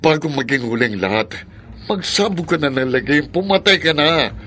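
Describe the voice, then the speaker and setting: A man's voice: fairly high pitch, lively intonation, moderate pace, pushed and bright, a little hiss or hum. An actor playing a stern, pushy middle-aged father in a horror radio drama. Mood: urgent, scolding. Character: stern, pushy father urging his son to kill.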